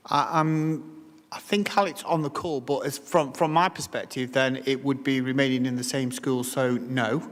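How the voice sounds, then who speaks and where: A man explains policy in a measured, careful way, often restarting his sentences. His voice sits in the middle of the male range and carries a long, reverberant ring from the council chamber. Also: medium pitch, fairly even intonation. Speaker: council officer answering questions. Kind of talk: formal meeting explanation.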